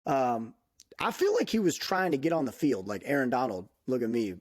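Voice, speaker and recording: A man in his thirties talks through a casual podcast chat in a mid-pitched voice. He is upbeat and loose, and keeps dropping in "um" and "like" as he goes. The recording sounds close and dry, with no room echo. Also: sing-song, moderate pace. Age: 30s. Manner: chatty and upbeat, full of "um" and "like" fillers. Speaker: podcast co-host.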